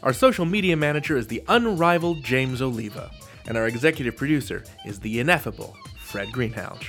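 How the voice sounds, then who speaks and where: A man's voice: medium pitch, expressive, fairly quick, a bit bright, faint music behind. A man hosting a podcast from a script, with a playful, theatrical delivery. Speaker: podcast host.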